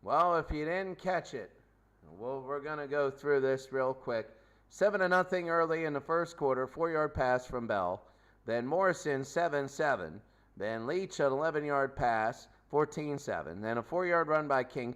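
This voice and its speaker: A man hosting a sports show, recapping a game off the cuff in clipped runs of stats, repeating phrases for emphasis. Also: a little high. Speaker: sports show host, commentator. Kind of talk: unscripted game recap commentary.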